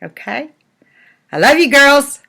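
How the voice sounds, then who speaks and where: A woman's voice: high voice, animated, slow, gravelly, bright, forceful tone. An older woman, a grandmother reading a storybook to young children and chatting about it in a folksy, simple way. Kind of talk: storybook read-aloud with chatty asides to children.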